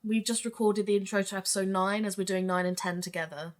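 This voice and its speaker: A woman acting as a young amateur-detective podcaster, chatty and teasing. Her voice sits at an everyday middle pitch and sounds like a natural recorded conversation from a podcast drama. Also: fairly even intonation, fast talker, close to an everyday voice.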